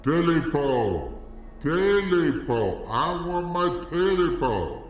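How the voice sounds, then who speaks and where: A man voicing a short, stubby clawed creature with glowing eyes, drawing out its slow, moaning chant. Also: a little high, very animated, voice pushed up. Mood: eerie. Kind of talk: spooky storytelling. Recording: distant, with long echoing reverb.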